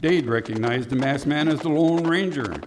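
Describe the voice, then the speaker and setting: A man's voice: fairly high pitch, moderate pace. A man narrating a scripted Western adventure in the style of an old-time radio drama. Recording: clearly noisy background.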